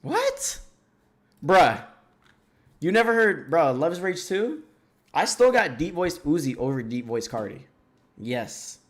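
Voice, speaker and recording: A man hosting a livestream, chatting casually and reacting out loud while reading viewer comments and talking back to them. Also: fairly high pitch, takes it slowly, long pauses. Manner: loose and excitable, reads chat comments aloud and talks back. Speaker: livestream host. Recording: loud rap music playing under the voice.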